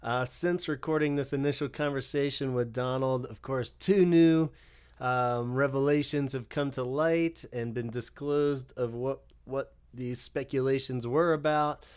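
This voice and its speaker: A man's voice: mid-range voice, steady tone, unhurried, a little mellow, clean tone. A man hosting a music podcast, talking in an easygoing, loose way with many fillers and frequent laughs. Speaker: podcast host. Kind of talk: casual chat.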